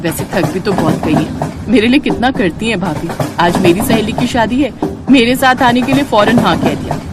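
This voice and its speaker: A woman's voice: mid-range voice, moderate pace, natural voice. Woman as brave, earnest young woman, noisy recording. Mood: musing, fond. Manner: polite, earnest, chatty. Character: brave, alert young woman, polite sister-in-law.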